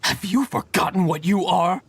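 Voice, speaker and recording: An actor playing a scornful, accusing villager in a fantasy video game, indignant and contemptuous. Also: male voice, high voice, normal speed, vocal fry, slight background noise. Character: scornful, accusing human villager who despises wolves.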